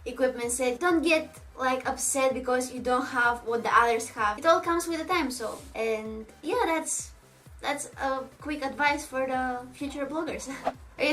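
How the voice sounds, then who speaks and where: A woman's voice: sits high, a bit bright. A young woman from Bulgaria with a Bulgarian accent, English not her first language, chatting casually as a makeup vlogger answering viewer questions. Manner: chatty, reads viewer questions aloud, laughs often. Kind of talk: casual Q&A chat.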